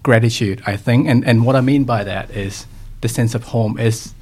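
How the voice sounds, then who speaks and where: A young man from Thailand, a podcast guest talking reflectively about his upbringing in a relaxed conversation. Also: medium pitch, normal speed, husky. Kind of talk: casual interview conversation.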